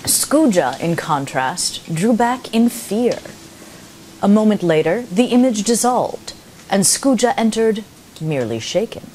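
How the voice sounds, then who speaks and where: Woman acting as a vulcan narrator, formal n precise, lively pitch, noisy live theatre recording. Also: measured pace. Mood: composed, formal. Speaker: stage narrator. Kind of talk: scripted narration, live stage play. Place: theatre stage, indoors. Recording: live stage recording with background noise.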